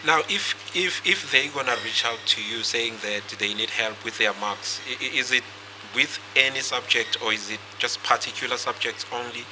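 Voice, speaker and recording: A man's voice: medium pitch, normal speed. A man with a South African accent hosting a podcast, asking questions in a chatty way and slipping into Zulu phrases. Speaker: podcast host. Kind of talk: casual interview chat. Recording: noisy podcast recording.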